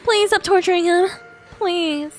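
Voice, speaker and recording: A woman with a very high voice reacting live to a story game. She talks at a slow pace in an emotive, sympathetic way, with faint music underneath. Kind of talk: live playthrough reaction, reading dialogue aloud.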